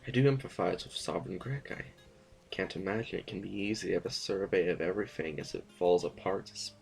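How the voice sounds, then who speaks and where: Man playing skeptical creaky-voiced council officer. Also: deep voice, fast, soft background music. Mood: reflective, reluctant. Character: skeptical junior council officer, frank, pragmatic and questioning. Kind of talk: audio drama acting.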